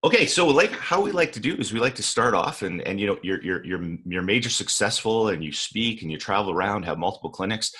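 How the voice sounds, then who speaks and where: A man's voice: on the low side, expressive, fairly quick, hardly any pauses, a bit bright, husky. A man hosting a podcast, chatting in a friendly, loose way with many fillers. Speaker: podcast host. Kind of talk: casual interview with scripted intro.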